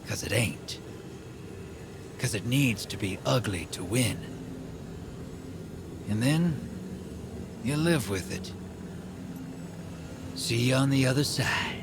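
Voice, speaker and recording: Slow-drawling streetwise rogue. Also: male voice, mid-range voice, noisy background. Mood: brooding, confiding. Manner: folksy drawling slang. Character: sly, streetwise, world-weary male rogue gunslinger. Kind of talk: video game character dialogue.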